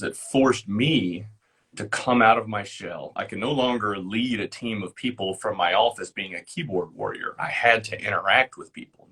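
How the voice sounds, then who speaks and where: Middle-aged guy, life coach guest, kinda low husky voice, earnest and measured. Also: fairly bright tone. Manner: earnest, measured, repeats words when restarting. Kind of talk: reflective interview talk.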